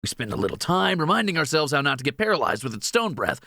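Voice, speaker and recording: A man's voice: a little high, very animated, quick pace, pushed and bright, dry recording, studio quality, heavily acted. A man playing a greedy, cheeky young thief in a fantasy audio roleplay, teasing and flippant.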